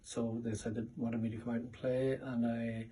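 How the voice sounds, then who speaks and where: A middle-aged man with a Northern Irish accent reminisces casually in a family interview, speaking haltingly and repeating words. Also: mid-range voice, level tone, dark tone. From Northern Ireland. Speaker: interviewee, father. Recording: distant mic with background room noise.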